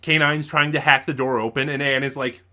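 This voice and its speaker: Male reviewer, mid-range pitch, bright forceful tone, conversational and self-correcting as he weighs his opinions. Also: level tone, brisk. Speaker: online reviewer, show host. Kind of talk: casual spoiler review of an audio drama.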